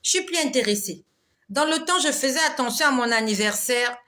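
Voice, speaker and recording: Woman, 40s, chatty faith talk, laughs often, clear bright tone. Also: sits high, lively intonation, a little fast. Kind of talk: casual live devotional talk reading viewer comments.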